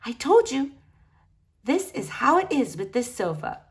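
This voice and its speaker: A woman's voice: a little high, big pitch swings, unhurried, voice pushed up. Woman playing soothing, kindly lady doctor. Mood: reassuring.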